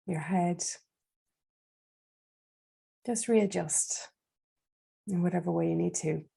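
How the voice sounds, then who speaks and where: A woman leading a guided meditation in a soft, breathy, soothing voice, leaving long unhurried pauses. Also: fairly low pitch.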